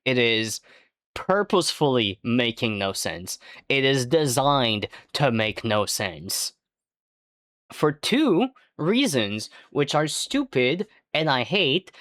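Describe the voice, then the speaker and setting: A native French speaker streaming casually, rambling and swearing with jokey outbursts that jump up in pitch. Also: a bit bright, no echo, studio quality. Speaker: livestreamer. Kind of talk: casual livestream chat.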